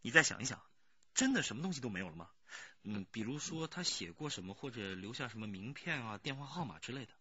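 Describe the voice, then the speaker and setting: An actor playing a probing, sceptical police detective, pressing a witness in a radio crime drama. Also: male voice, mid-range voice, very animated, bright, forceful tone, breathy edge, dry recording, highs cut off, heavily acted. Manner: brisk, pressing.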